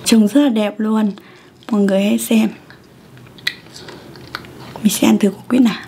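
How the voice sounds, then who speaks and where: A woman's voice: mid-range voice, fairly even intonation, moderate pace, background noise. A young woman from Vietnam giving casual ASMR eating commentary as a mukbang host. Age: young adult. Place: small indoor setup with a plain backdrop.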